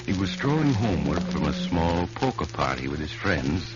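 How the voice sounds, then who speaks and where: An ominous storyteller narrates a vintage radio thriller in an extremely deep voice. Loud music plays beneath the muffled recording. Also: male voice, very animated, normal speed. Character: ominous storyteller of a murder mystery.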